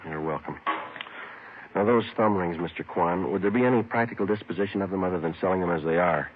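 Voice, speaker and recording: A man's voice: sits low, fast, noisy background, lightly acted. A man with an American accent playing a clipped, matter-of-fact yet courteous police sergeant in a 1950s radio detective drama. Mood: courteous, probing. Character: brisk, businesslike male police sergeant narrating his case. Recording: tinny old radio with loud music.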